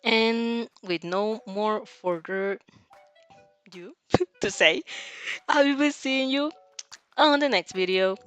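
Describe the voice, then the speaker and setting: A young woman streaming a casual read-aloud of fan fiction, giggly, animated and scattered in her talk. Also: a little high, slow, long pauses, smooth, soft background music.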